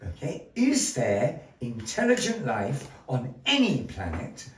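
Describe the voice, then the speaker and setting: A man's voice: medium pitch, huge pitch swings, slow pace, distant mic, a little hiss or hum. A man hosting a puppet show before a live audience, with showy, grandly polite, playful stage patter. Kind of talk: theatrical stage patter with a puppet fortune-teller. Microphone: distant room mic. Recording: echoey room heard from afar.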